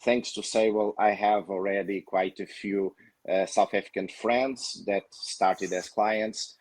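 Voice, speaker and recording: A man's voice: lowish voice, fairly even intonation, unhurried, no echo, rough recording. A man from Portugal with a Portuguese accent, a company founder introducing himself hesitantly on a webinar panel. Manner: hesitant, many fillers.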